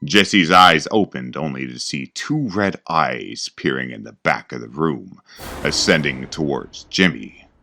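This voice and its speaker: A man narrating a scripted horror story in a dramatic, ominous, deliberate way. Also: a bass voice, lively intonation, gravelly, sharp tone.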